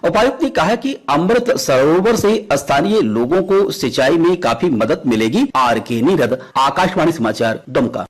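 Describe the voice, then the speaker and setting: A man files a scripted news report as a correspondent. His voice sits a little high for a man and has a husky, unclear grain. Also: lively intonation, normal speed, hardly any pauses.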